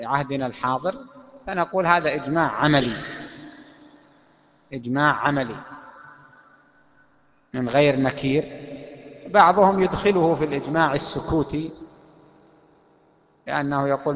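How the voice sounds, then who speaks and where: A man teaching a classical legal text, explaining at length with pauses and fillers. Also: on the high side. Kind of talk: lecture on Islamic legal theory. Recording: echoey hall, dull lo-fi sound.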